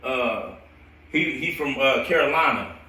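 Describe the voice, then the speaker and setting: An American man who coaches football, telling stories casually and in an animated, slangy, rambling way on a live stream. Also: mid-range voice, unhurried. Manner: animated, slangy, laughs often. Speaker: football coach hosting a storytelling show. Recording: roomy echo, distant from the mic.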